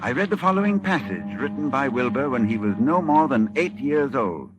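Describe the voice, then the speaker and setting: An actor playing an anguished, fearful scholar who narrates horror in a grave, halting, dramatic 1940s radio style. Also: male voice, medium pitch, sing-song, muffled recording, music in the background, close to an everyday voice. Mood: grave dread. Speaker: narrator and lead character.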